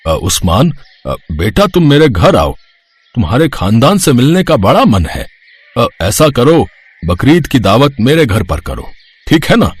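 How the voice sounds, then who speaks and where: A man voicing a kindly, pious old man in an animated moral story, speaking with halting, hesitant openings. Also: medium pitch, husky. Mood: warm, inviting. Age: older.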